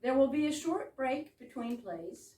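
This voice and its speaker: A woman's voice: high-pitched, animated, a little slow, mellow. A woman hosting a readers theater evening, giving a live welcome speech with theatrical flourishes and chatty asides. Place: room in a private home with a small audience. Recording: echoey room, distant mic, background noise.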